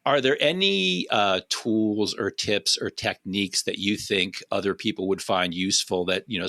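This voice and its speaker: Low-voiced male podcast host, bright and dry. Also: no echo. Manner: rambling, restarts sentences, asks long questions. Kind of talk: casual conversational interview.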